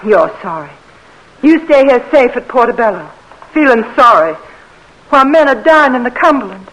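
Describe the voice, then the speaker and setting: An actress playing a proud, fierce young Southern plantation woman in a 1940s radio drama, speaking in an emphatic, high-strung way. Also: sing-song, normal speed, fairly bright tone, a little hiss or hum, low-quality recording. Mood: defiant.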